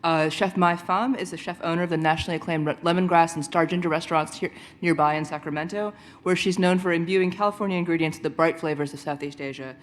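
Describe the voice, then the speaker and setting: A woman's voice: lowish voice, steady tone. A woman reading a prepared introduction of the next presenter at a conference. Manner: quick, reading a prepared bio. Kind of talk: scripted introduction.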